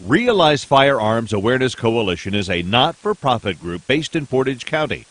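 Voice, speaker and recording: A man's voice: medium pitch, sing-song, moderate pace, fairly bright tone, no room sound. A man delivering a scripted promotional message for radio as an announcer.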